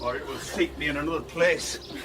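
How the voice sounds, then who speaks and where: Actor as troubled older nobleman, highish voice. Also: a little fast, background noise, close to an everyday voice. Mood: troubled, resolving. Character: troubled, honour-bound older nobleman, husband and father. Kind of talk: Shakespearean radio drama acting.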